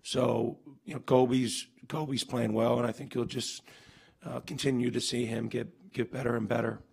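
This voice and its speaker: A man's voice: mid-range voice, little pitch movement, normal speed, vocal fry, a little mellow, husky. A man in his forties, a basketball coach, answering reporters' questions after a game in earnest, emphatic bursts full of restarts and fillers, seated at a table mic in a press room. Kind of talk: postgame press conference. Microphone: table mic on stand.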